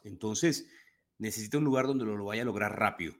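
Low-voiced Colombian man talking football. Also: fairly quick. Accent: Colombian Spanish. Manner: animated, rambling with restarts. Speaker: podcast co-host. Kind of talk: casual sports talk.

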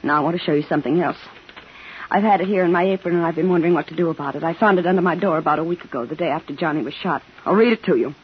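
A woman's voice: sits low, racing, close to an everyday voice. A woman playing a frightened, protective young mother in a 1950s radio thriller, pleading and emotional. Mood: tender, anxious. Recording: dull, faintly noisy 1950s radio broadcast.

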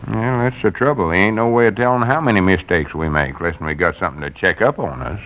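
An actor playing an eager, gullible small-town storekeeper in an old-time radio comedy, with a rural Southern accent and earnest backwoods talk. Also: male voice, sits low, fast talker. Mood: defensive, persuading. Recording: muffled vintage radio recording.

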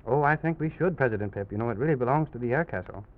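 A man's voice: medium pitch, animated, very fast. A man hosting an old-time children's radio story, talking in simple phrases aimed at young children. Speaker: children's show host and storyteller. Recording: noisy, muffled old radio recording with clicks.

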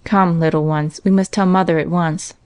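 A woman's voice: lowish voice, steady tone, normal speed, a little mellow, no echo, close to an everyday voice. A woman voicing a kindly, reassuring family father in a storybook reading. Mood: grave, tender. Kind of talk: storybook character voice.

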